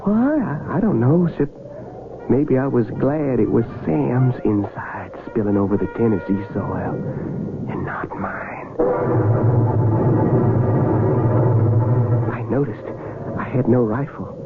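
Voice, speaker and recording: Spooked young american civil war soldier, folksy stammer, muffled old radio sound, loud noise behind. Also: male voice, mid-range voice, expressive, creaky. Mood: dazed, remorseful. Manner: rustic speech, halting and stammering. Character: frightened, guilt-ridden young Civil War soldier telling his story. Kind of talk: 1970s radio drama acting. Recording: muffled vintage radio, heavy battle noise.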